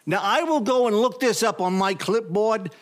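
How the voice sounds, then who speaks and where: A man playing an eager, scrappy and somewhat hapless office temp and husband in an audio comedy, with a folksy, excitable manner. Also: sits high, normal speed, lightly acted. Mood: gruff, stubborn. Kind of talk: audio comedy drama acting.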